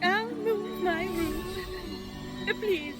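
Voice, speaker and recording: A theatrical, emotional goddess of love from a video game. Her voice is extremely high and she speaks slowly. Music plays behind her. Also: full character voice. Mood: gentle, tentative. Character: anguished, dramatic goddess of love.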